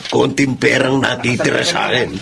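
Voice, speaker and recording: An actor playing a middle-aged Filipino father hooked on gambling, full of excuses and quick to scold, in a radio drama. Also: medium pitch, steady tone, vocal fry, a crowd in the background. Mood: excuse-making, eager. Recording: faint background noise.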